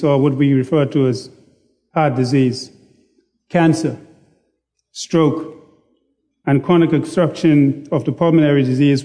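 A man preaching a sermon in a slow, deliberate, self-deprecating manner. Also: a little high, warm tone. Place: church sanctuary.

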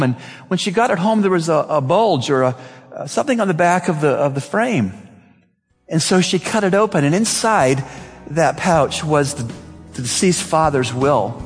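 Pastor guy preaching, breathy voice, big echo, a little music underneath. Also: a little high. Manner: storytelling, earnest, addressing the church. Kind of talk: sermon.

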